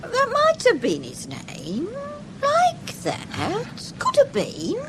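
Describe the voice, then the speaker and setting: A woman's voice: extremely high pitch, wildly swooping pitch, slow, very noisy, heavily acted. A woman playing an evasive, genteel English landlady in a British radio drama, sing-song and calling everyone dear. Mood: politely evasive.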